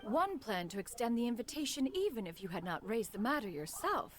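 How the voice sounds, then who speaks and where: A woman's voice: a little high, sing-song, brisk, a little hiss or hum. A woman playing a haughty, dignified immortal in a video game, speaking in a formal, archaic way. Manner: formal, haughty, archaic, calls herself "one". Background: music.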